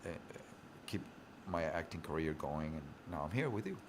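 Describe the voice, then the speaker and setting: A man's voice: a bass voice, expressive, normal speed, background noise. A man in his forties from Venezuela, a guest telling his life story in long, run-on stretches full of restarts. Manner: talks in long run-on stories with many restarts. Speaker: interview guest, DJ and rapper. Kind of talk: rambling personal storytelling.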